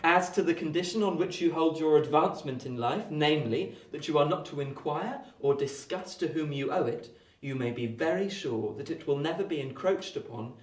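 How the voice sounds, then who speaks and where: An actor playing a genial, well-bred young London gentleman, polite, tactful and chatty, in a radio play. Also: a little high, moderate pace, few pauses, far from the mic, natural voice. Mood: storytelling. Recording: echoey room, off the mic.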